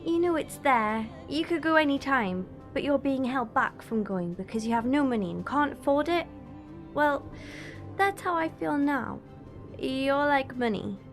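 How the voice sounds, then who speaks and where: A woman plays a homesick young girl companion in an audio drama. The voice is high and youthful, talking plainly and earnestly over faint music. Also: lively intonation, measured pace.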